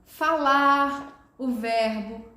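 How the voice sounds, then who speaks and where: A woman with a high voice gives a language lesson at an unhurried pace. She stretches out each example word with care. The sound carries a noticeable room echo. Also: pushed and bright. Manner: slow, careful, stretches out example words. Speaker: Portuguese teacher. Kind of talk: relaxed video lesson.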